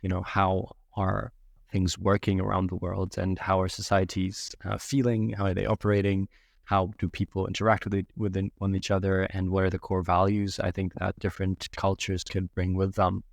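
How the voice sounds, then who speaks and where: A young man from Yemen speaks in a low, steady voice with little rise and fall. He takes his time, pausing on "um" and "uh" as he reflects. The sound is close and dry, with no room around it. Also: vocal fry, dry recording. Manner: measured, thoughtful, many fillers. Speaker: podcast guest. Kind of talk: reflective interview answers.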